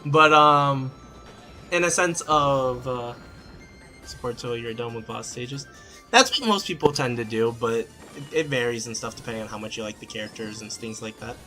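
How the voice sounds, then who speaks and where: A man's voice: mid-range voice, moderate pace, pushed and bright, faint music behind. A man streaming a game, answering chat questions casually with plenty of fillers and restarts. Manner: rambling, many fillers and restarts.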